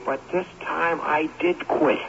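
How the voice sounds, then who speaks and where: A man's voice: fairly high pitch, fairly even intonation, slow, gravelly, a bit bright, exaggerated voice. A man acting in an old-time radio drama as a weary, bitter former college athlete in his forties, theatrical and brooding. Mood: defiant, exasperated. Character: bitter, weary former college sports star turned adman. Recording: muffled, hissy old radio recording.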